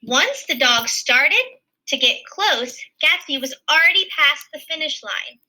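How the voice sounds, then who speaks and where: A woman's voice: high voice, expressive, unhurried, bright, forceful tone, rough recording. A woman reading a children's picture book aloud expressively, adding simple explanations as a teacher would. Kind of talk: animated children's read-aloud with asides.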